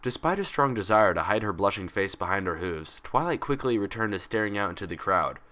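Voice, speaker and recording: A man reads fan fiction aloud as narrator. His voice sits fairly low with a bright, forward edge, and his expressive delivery moves animatedly through the scripted prose. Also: fairly quick.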